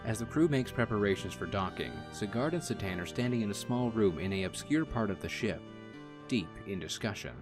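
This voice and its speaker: A man with a fairly deep voice narrates a scripted radio play. Loud music runs behind his storytelling. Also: animated, fairly quick.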